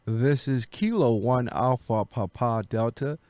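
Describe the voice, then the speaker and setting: A man's voice: medium pitch, unhurried, a little mellow, dry recording. A man who is an amateur radio operator, chatting casually and making radio calls. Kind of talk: casual chat and radio calling with phonetic alphabet.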